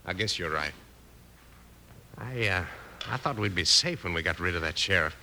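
An uneasy outlaw sidekick in a radio western. His American voice is fairly low and very tense, with a sullen streak. The old recording is faintly noisy. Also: animated, normal speed, sharp tone, full character voice. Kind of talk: 1950s radio western acting.

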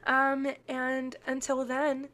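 A woman's voice: high-pitched, monotone, takes it slowly, a bit bright. A young woman co-hosting a casual podcast chat, her voice stuffy and congested from illness, talking in a chatty way with lots of laughs and ums. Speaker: podcast co-host.

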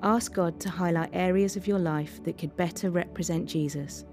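A woman reading a scripted devotional in a measured, reflective way. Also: lowish voice, fairly even intonation, darkish tone. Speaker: devotional reader. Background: faint music.

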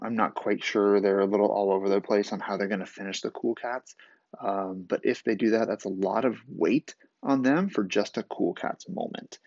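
A raspy man talks through his solo podcast with a low, gravelly voice. He sounds groggy and rambles a little, with fillers and restarts. The sound is dry and a bit dull. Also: no room sound. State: groggy after a vaccine. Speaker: podcast host. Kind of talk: casual solo podcast talk.

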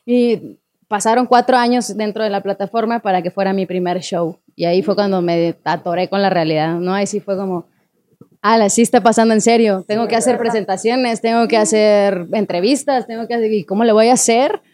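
A woman's voice: mid-range voice, fairly even intonation, a little fast, a little mellow. A young woman with a Mexican accent, a singer telling her story as a guest in a casual interview, full of fillers. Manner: chatty storytelling, many fillers like "o sea" and "¿no?". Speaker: singer and online creator, guest.

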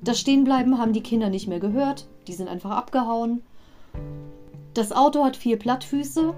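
A woman's voice: fairly high pitch, moderate pace, mellow, soft background music. A woman hosting a podcast, casually retelling a story with chatty, digressive commentary. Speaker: podcast host.

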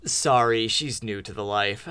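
A man playing a nervous, smooth-talking crook and weary husband in comedic radio theatre, placating and hesitant. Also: on the low side, unhurried, pushed and bright.

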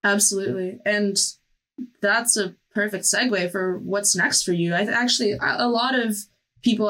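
A woman's voice: medium pitch, fairly bright tone. Encouraging woman host. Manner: encouraging, affirming. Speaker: podcast host interviewing applicants. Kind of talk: casual interview conversation.

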